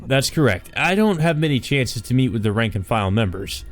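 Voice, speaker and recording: A man's voice: medium pitch, expressive, a little fast, soft background music, pitched above the performer's own voice, close to an everyday voice. A man voicing an anxious, guarded male artist who is hesitant, evasive and formally polite, in a visual novel.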